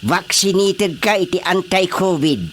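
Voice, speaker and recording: A man's voice: sits high, unhurried, fairly bright tone, a little hiss or hum. An actor with an Ilocano accent playing a chatty, teasing elderly village chairman in a radio drama comedy. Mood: teasing, jovial.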